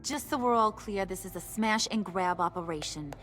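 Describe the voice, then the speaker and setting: A woman's voice: mid-range voice, moderate pace, over music, close to an everyday voice. A woman playing a brash, mocking gang leader in a video game, bossy and playfully menacing, with a taunting sing-song delivery. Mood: commanding.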